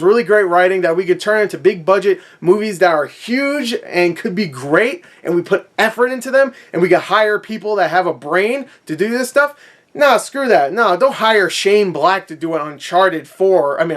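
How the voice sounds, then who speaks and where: A man's voice: high-pitched, fairly bright tone. A man giving a casual, opinionated rant in a sarcastic, sweary, conversational way. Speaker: movie review vlogger.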